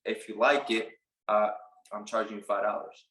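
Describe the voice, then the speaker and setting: A man in his late twenties from Puerto Rico, a barber telling his story in a casual interview, relaxed and halting with many fillers. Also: lowish voice, echoey room, rough recording. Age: late 20s. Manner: relaxed, halting, lots of "like" and restarts. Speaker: barber and business owner, guest.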